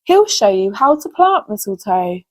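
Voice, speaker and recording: A woman's voice: medium pitch, very animated, normal speed. A woman voicing a kindly, knowing pixie aunt who gives patient advice in a children's storybook. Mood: patient, advising. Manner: patient, advising.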